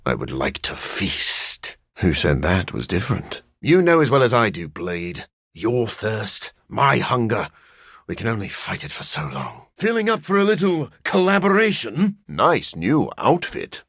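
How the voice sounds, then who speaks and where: Video game vampire gentleman: lowish, gravelly voice, measured and theatrical, dry wit over a brooding core. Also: big pitch swings, no room sound, heavily acted. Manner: formal, measured, wry and theatrical delivery. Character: brooding, dryly witty, gentlemanly male scientist vampire. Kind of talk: scripted video game character lines.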